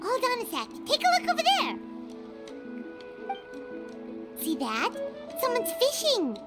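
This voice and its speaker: A woman voicing a tiny, childlike, excitable fairy companion in a fantasy game, chirpy and chatty. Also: very high voice, normal speed, exaggerated voice. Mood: curious, excited. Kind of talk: animated fantasy game acting. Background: loud playful music.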